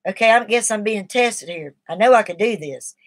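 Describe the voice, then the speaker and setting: A woman's voice: mid-range voice, animated, moderate pace. A middle-aged woman, talking earnestly and at length on a live stream while shaky from nicotine withdrawal. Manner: rambling, earnest and confiding. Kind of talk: casual live stream talk.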